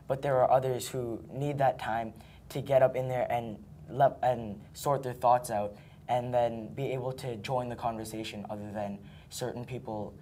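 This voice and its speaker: A man's voice: mid-range voice, dark tone, slight background noise. Earnest eighth-grade boy, searching for words. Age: young teen. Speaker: student. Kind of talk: classroom interview.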